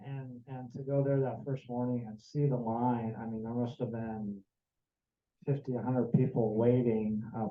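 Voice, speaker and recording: An American man tells his story in an earnest, wandering way, still worn from jet lag. His mid-pitched voice comes through thin and phone-like, with the hollow ring of a bouncy meeting room around it. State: jet-lagged. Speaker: church member sharing a mission trip report. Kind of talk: personal testimony to a congregation. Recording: tinny, echoey room recording.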